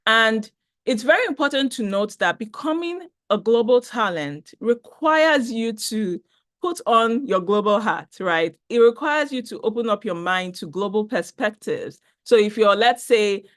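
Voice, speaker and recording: A woman's voice: a little high, expressive, measured pace, a bit bright, dry recording, studio quality. A Nigerian woman presenting an online talk on a video call, chatty and upbeat, reading the chat as she goes. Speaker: webinar presenter.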